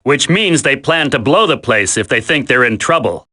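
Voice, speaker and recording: An actor playing a cocky, wisecracking, flippant commando in a dramatic video game. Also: male voice, medium pitch, animated, normal speed, pushed and bright. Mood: brisk.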